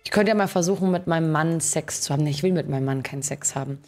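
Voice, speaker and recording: A woman's voice: low-pitched, very fast, a little mellow. Young woman casually commentating a game. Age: young adult. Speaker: gaming streamer. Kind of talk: casual gameplay commentary and chat. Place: indoor streaming setup. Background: game music.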